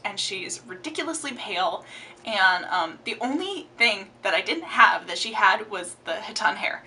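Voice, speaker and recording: Young woman book vlogger, bright and lively, slightly off-mic with faint room hiss. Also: medium pitch, recorded from a distance. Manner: chatty and expressive, gestures a lot. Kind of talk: casual book tag chat. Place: home room with bookshelves. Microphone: camera framing, upper body.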